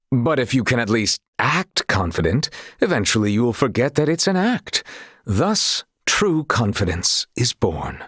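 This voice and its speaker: A man's voice: on the low side, no echo, clean studio sound. A man playing a noble, confident knight officer in a video game, speaking in formal, old-fashioned courtly phrases with good humor. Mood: assured, encouraging. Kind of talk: video game character dialogue.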